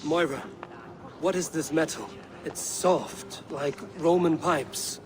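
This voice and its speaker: An actor playing a bold, loyal Viking warrior in a video game, with a direct, commanding manner. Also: male voice, fairly high pitch, fairly even intonation, takes it slowly, noisy background. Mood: questioning. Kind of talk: dramatic video game acting.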